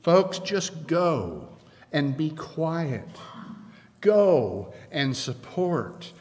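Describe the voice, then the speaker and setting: An older man preaching a sermon from a church pulpit, earnest and measured, with slow emphatic pauses. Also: a little high, big pitch swings, a little mellow. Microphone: pulpit stand mic. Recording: long reverb of a church hall.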